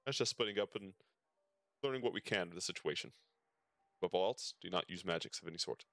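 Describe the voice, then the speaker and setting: Male game streamer, low voice, dry sound, casual reactions between read-out dialogue. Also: quick pace, creaky, a bit bright, no room sound.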